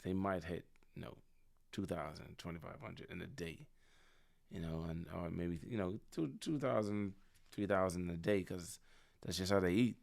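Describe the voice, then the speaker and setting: Deep gravelly man. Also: moderate pace. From Bahamas. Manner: relaxed, reflective, repeats words as he thinks. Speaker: podcast guest. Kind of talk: casual conversation. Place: office conference room. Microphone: studio mic on a stand.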